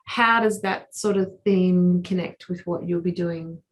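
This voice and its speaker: A middle-aged Australian woman interviewing a guest, chatty and upbeat, with quick interjections. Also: lowish voice, fairly even intonation. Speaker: interviewer, festival artistic director. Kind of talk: casual interview chat.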